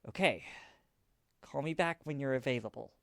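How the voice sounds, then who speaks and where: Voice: mid-range voice, moderate pace, vocal fry, no room sound, studio quality, natural voice. An actor playing an anxious, helpful friend in an audio drama, talking in a casual, concerned way. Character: Sam, anxious, helpful acquaintance checking in.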